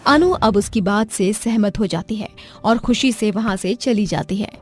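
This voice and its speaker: A woman's voice: mid-range voice, unhurried. A woman narrator reading a scripted dramatic story for listeners. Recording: music behind the voice.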